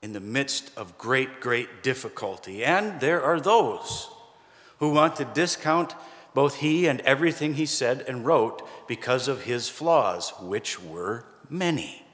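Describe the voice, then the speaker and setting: A middle-aged male pastor delivers a sermon at a pulpit in a church sanctuary. He speaks in a conversational way with gentle humor and pauses for emphasis. His voice sits at a medium pitch and carries a long church reverb. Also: expressive. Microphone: pulpit microphone, far camera.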